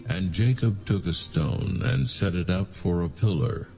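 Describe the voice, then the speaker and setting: A man narrates scripture solemnly in a low voice with heavy creak. His scripted delivery is measured, with faint music behind it. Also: darkish tone.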